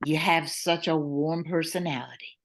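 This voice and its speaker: A woman's voice: low-pitched, normal speed. Woman acting a capable, earnest airline boss. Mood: resolute. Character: worried but capable woman airline owner-pilot. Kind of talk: old-time radio play acting.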